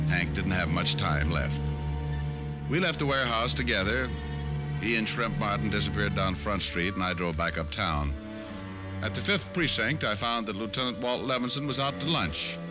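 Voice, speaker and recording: A low-pitched radio detective with a dry, wisecracking way about him. He trades jibes and narrates his case in classic mid-century radio style. Music sits clearly in the recording. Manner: wisecracking, sardonic. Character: wry, easygoing male private detective and narrator. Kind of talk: 1940s-50s radio detective acting and narration. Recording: old radio broadcast with music.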